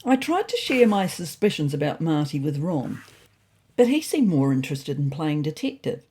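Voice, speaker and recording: A woman's voice: sits low, big pitch swings, moderate pace, warm tone, slightly rough, exaggerated voice. A woman voicing a fussy, neurotic male ginger cat who narrates a comic storybook diary in a prim, indignant, self-important way.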